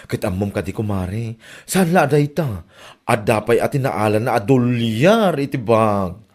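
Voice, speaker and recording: An actor plays an eager, gossipy character with a mid-pitched male voice. He chats and exclaims in comedy drama style. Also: animated, a little slow, a little mellow. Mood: excited, gossipy. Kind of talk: Ilocano comedy drama acting.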